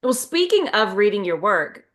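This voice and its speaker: A woman with a medium-pitched voice chats casually about writing. Her delivery is informal and expressive, with enthusiastic reactions. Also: normal speed. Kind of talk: casual chat between writers.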